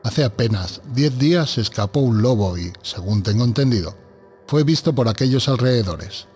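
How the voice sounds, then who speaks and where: A man playing a calm hospital doctor with a husky voice in a dramatized audiobook. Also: medium pitch, moderate pace, lightly acted. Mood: calm, speculative. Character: male hospital doctor calmly theorizing. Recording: music under the voice.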